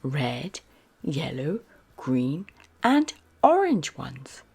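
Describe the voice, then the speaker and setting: A woman reading a picture book aloud to children, expressively and with breathy, deliberate emphasis. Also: low-pitched, very animated, darkish tone. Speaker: children's storyteller. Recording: music behind the voice.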